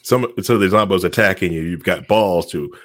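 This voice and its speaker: A man's voice: low-pitched, normal speed, a bit bright, dry recording. A man chatting casually and informally as a podcast co-host. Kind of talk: casual chat.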